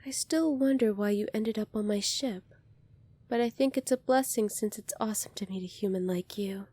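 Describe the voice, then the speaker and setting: A woman playing a gentle, earnest young alien princess in an ASMR roleplay, speaking softly and politely to the listener. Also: mid-range voice, moderate pace, no room sound, close to an everyday voice. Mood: warm, reassuring. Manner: soft-spoken, polite, earnest, apologetic.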